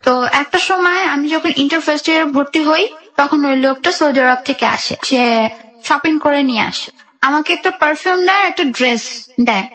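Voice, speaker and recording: A woman's voice: high-pitched, moderate pace, a bit bright, rough recording. A young woman with a Bangladeshi accent tells her life story in a radio interview, narrating in detail and re-enacting conversations. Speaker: guest telling own life story.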